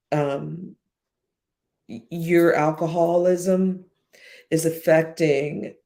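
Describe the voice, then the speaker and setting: A woman's voice: on the low side, vocal fry, a little mellow. A woman talking casually on a livestream, blunt and profane, with a slightly whiny-sounding voice, speaking straight to her viewers. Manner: blunt, profane, chatty, addresses chat directly. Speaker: YouTube live streamer.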